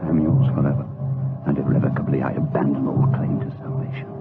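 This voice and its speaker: An actor playing a desperate, doomed monk in a gothic audio drama, melodramatic and pleading. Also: male voice, very deep voice, flat delivery, fast, creaky. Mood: fearful, resigned. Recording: band-limited lo-fi audio under loud music.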